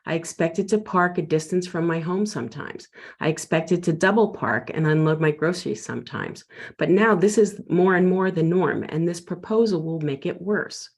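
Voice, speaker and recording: A middle-aged man reading a prepared public comment at a council meeting in a measured, concerned way. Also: a little high, creaky. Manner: measured, concerned, read from notes. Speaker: resident giving public comment.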